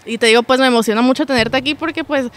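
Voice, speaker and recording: A woman's voice: high voice, rapid-fire. Effusive young Mexican woman podcaster. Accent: Mexican Spanish. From Phoenix, USA. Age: 20s. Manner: slangy, effusive. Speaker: podcast host. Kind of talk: casual chat. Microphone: podcast microphone on boom arm, close.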